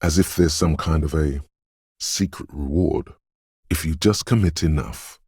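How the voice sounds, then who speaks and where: A man voicing a video game's inner voice of intuition, dreamy, ominous and mysterious, narrating in a portentous, poetic way with a deep, gravelly, husky tone. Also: a little slow, a little mellow, dry recording, studio quality, full character voice. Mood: grave, mournful. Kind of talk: scripted second-person video game narration.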